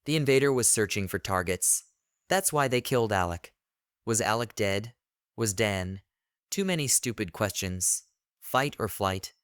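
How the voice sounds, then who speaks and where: A man reading a scripted first-person horror story in a deliberate, literary storytelling manner. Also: on the low side, expressive, a bit bright, smooth, dry recording, studio quality. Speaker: narrator of horror stories.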